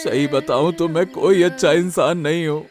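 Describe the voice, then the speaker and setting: High-voiced, humble young villager voiced by a man. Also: steady tone, moderate pace, over music, lightly acted. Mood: dejected. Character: humble, naive, dejected young village vegetable seller. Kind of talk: Hindi moral-story cartoon dubbing.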